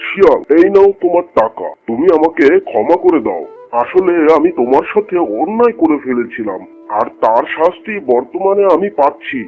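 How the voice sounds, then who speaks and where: Bossy jungle tiger played by a man, gravelly and swooping, raised pitch, cartoon bully. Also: very animated, normal speed, heavily acted. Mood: remorseful. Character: bullying, domineering male tiger landlord of the jungle. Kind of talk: Bengali cartoon character dialogue. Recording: dull lo-fi audio with music behind.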